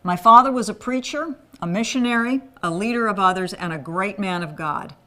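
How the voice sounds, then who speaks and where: A woman's voice: medium pitch, a little fast, pushed and bright. A woman raised in Thailand, delivering an earnest scripted appeal for a men's ministry. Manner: earnest, pleading.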